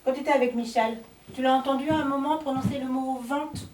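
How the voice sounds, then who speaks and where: A woman's voice: high-pitched, steady tone, a little fast, darkish tone, close to an everyday voice. A woman playing a curt, matter-of-fact sales mentor who gives short lessons. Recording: roomy, distant film sound with faint noise.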